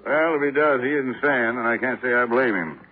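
A man's voice: medium pitch, a little fast, lightly acted. A man playing an easygoing, plain-spoken police lieutenant in a 1950s radio drama, talking in a casual, folksy way. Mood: conversational. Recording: narrow-band 1950s radio recording.

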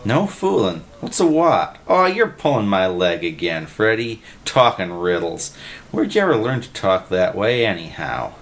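A man reading a story aloud, voicing an excitable, simple young man who talks in breathless slang. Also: fairly low pitch, measured pace, exaggerated voice. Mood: badgering.

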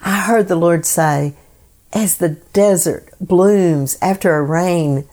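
A woman hosting a devotional program, reading scripted meditations in a solemn, measured way. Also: on the low side, darkish tone.

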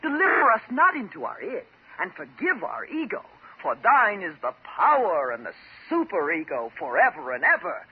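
An actress playing a bitter, vengeful jilted patient with a mocking, sarcastic and dramatic manner in a 1950s radio drama. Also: female voice, fairly low pitch, wildly swooping pitch, normal speed, husky, exaggerated voice. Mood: mocking, unhinged. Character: bitter, vengeful jilted woman patient holding doctor at gunpoint. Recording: dull old 1950s radio recording.

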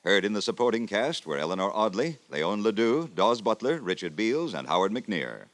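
Male radio announcer, lowish pitch, lively intonation, formal polished delivery, 1950s broadcast. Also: moderate pace.

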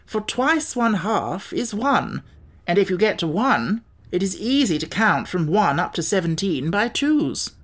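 A woman's voice: medium pitch, big pitch swings, unhurried, pitched above the performer's own voice. A woman voicing a self-assured, stubbornly logical male insect from a storybook, declaring things in a lecturing way. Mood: approving.

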